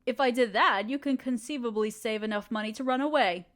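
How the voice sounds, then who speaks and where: A woman's voice: a little high, a little fast, close to an everyday voice. A woman voicing a ruthless, scheming evil queen in an audio roleplay, speaking in a condescending, sardonic, commanding way. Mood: dismissive. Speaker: voice actor.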